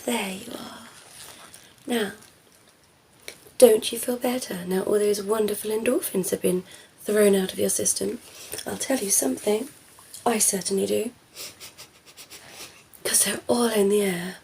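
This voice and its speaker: A cheeky vampire woman, acted out by a woman for ASMR roleplay, teasing and predatory. The voice is mellow with a creaky edge, soft and often whispered, over clear background noise. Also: mid-range voice, steady tone, a little slow, pauses often. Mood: coaxing. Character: cheeky flirtatious female vampire, playful, predatory, teasing humans.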